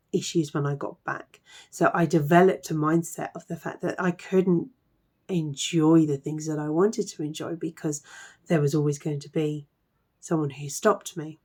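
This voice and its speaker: A hoarse woman with a croaky voice from a cold, talking earnestly as a mindset coach in a casual talk to camera, with frequent fillers. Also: sits low, darkish tone.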